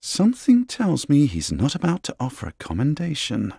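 An actor playing an inquisitive, polite professor in a video game, with eloquent, formal and theatrical speech. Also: male voice, a little high, huge pitch swings, normal speed, vocal fry, mellow, husky, dry recording, clean studio sound, heavily acted. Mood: composed. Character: inquisitive, polite, unflappable male scholar professor.

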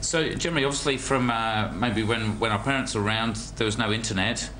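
A man's voice: lowish voice, a little fast, sharp tone, a little hiss or hum, rough recording. A man in his forties presenting and asking questions as host of a television business show. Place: television news studio, indoors.

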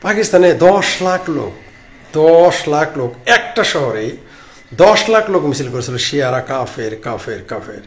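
A man's voice: fairly high pitch, big pitch swings, unhurried. A man in his late fifties with a Bangladeshi accent, giving informal live commentary and answering comments in a sarcastic, rambling, repetitive way into a phone. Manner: sarcastic, rambling, repeats phrases. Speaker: live-stream political commentator. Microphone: phone at arm's length. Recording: clearly noisy phone recording.

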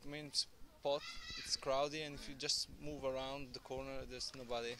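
A man's voice: mid-range voice, level tone, normal speed. A man in his twenties, speaking eagerly in rambling, non-native English during a street interview in a garden courtyard. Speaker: interviewee, Italian tourist. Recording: outdoor noise in the background.

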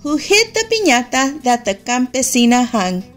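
A woman narrating a children's story in an expressive, measured way, as a read-aloud storyteller. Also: high-pitched, soft background music.

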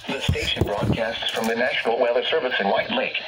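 A man's voice: medium pitch, fairly even intonation, fairly quick, distant mic, over loud music, poor audio. A synthetic man's voice reading a scripted weather bulletin, played through a weather radio speaker. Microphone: phone camera picking up a radio speaker. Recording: radio speaker picked up from afar, faint noise.

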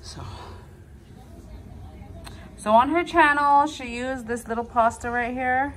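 A woman in her thirties chatting casually as she cooks at home and films on her phone, filling pauses with fillers and little apologies. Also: high voice, fairly bright tone, low-quality recording. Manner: chatty, self-narrating, filler-heavy, apologetic. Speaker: home cooking vlogger. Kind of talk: casual cook-along chat. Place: home kitchen, indoors.

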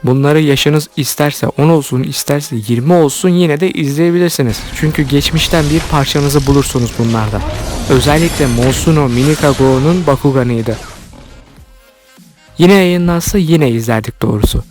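A man's voice: a little high, moderate pace, darkish tone. A man hosting an online video, delivering scripted commentary as narration. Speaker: YouTube host commenting on cartoons. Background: background music.

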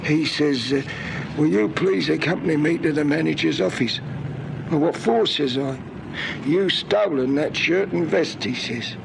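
A poor old drifter, humble and thankful, in an old radio play. The voice is highish and full of creak, rambling in homely, ungrammatical phrases. The recording is clearly noisy. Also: male voice. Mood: rambling, earnest. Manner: rambling, halting, rustic ungrammatical speech. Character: elderly poor, humble, grateful down-and-out man. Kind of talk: radio drama acting.

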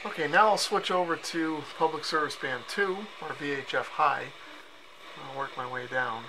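A man giving a casual, low-key hands-on radio demonstration, musing aloud as he goes. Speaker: radio reviewer. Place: concrete-walled cellar. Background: shortwave radio noise.

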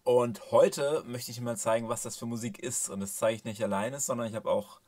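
A man in his thirties hosting a casual talk in his small home studio, explaining things in a relaxed way with many fillers. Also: medium pitch, fairly quick. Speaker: host, mixing engineer. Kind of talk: casual presenting.

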